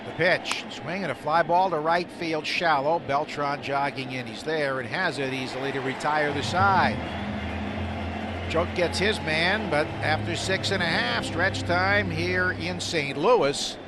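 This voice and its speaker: A man's voice: on the high side, unhurried. A man doing live radio baseball play-by-play in short, clipped, descriptive phrases. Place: outdoor ballpark broadcast booth. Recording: loud stadium noise behind the voice.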